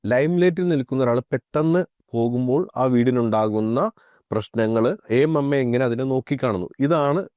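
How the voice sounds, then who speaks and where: A man's voice: medium pitch, moderate pace, a little mellow, no room sound, clean studio sound. A man hosting a reaction video, speaking in a critical, argumentative commentary style. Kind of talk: spoken commentary.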